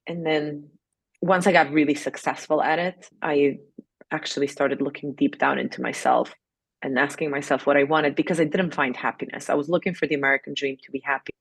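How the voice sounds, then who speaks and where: A woman's voice: low voice, dry recording. A woman in her forties from Serbia, a life coach answering interview questions in an open, personal way. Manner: open and personal, with fillers and restarts.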